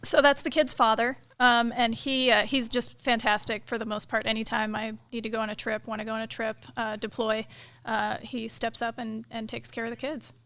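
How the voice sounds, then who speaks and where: A middle-aged woman, a military pilot, talking through her career as a guest in a casual interview, relaxed and thoughtful, with many fillers. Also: on the high side, moderate pace, clear, resonant tone. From United States. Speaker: KC-135 pilot and director of inspections, guest.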